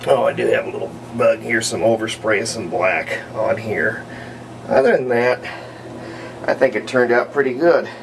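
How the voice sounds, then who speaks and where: A man, middle-aged, giving an unhurried, rambling, step-by-step commentary on hands-on restoration work, recorded indoors at home. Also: medium pitch, creaky, noisy background. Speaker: hobbyist radio restorer presenting own repair videos. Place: indoors at home, partly in a bathroom.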